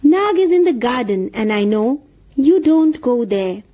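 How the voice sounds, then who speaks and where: A woman voicing a brave young male mongoose who speaks calmly and confidently, reading a storybook for children. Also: a little high, a little slow.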